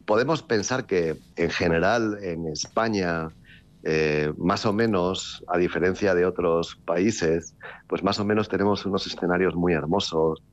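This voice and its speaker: A man, a novelist from the Basque Country, talking in a relaxed interview with a wry, rambling manner, full of restarts and fillers. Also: low voice, unhurried, lo-fi sound. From Basque Country, Spain. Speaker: author.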